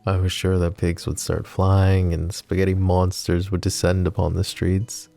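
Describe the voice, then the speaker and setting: A man voicing a wry, tender mafia boss in an ASMR roleplay, soft-spoken and teasing. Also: sits low, completely flat delivery, normal speed, gravelly, faint music behind. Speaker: voice actor.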